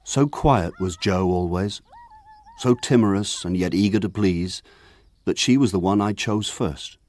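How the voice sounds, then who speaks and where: Male narrator, low and measured; a grown man recalling country boyhood, reflective and literary radio-drama storytelling. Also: darkish tone. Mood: wistful, tender.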